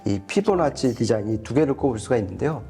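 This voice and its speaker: A man's voice: medium pitch, lively intonation, fast talker, mellow, slightly rough, faint music behind. A man giving an explanatory product review as a co-host, going step by step and rephrasing as he goes.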